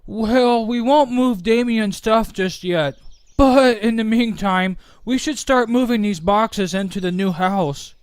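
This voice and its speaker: A man playing a kindly, reassuring inventor with a gentle, folksy manner in a scripted game roleplay. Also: high-pitched, slow, clear, resonant tone, no echo. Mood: hopeful. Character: kindly, reassuring male inventor and household head. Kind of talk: scripted Minecraft roleplay acting.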